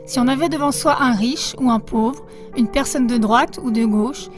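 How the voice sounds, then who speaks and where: A woman journalist giving a calm, reflective statement, read as a voice-over. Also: on the high side, moderate pace, over music.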